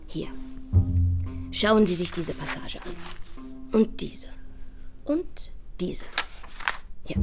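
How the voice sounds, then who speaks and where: A woman's voice: mid-range voice, close to an everyday voice. Arch young society lady, chatty and coquettish, acted by a woman. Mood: coy, pleading. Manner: chatty, arch, playful, breaking into confiding whispers. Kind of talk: German radio play acting.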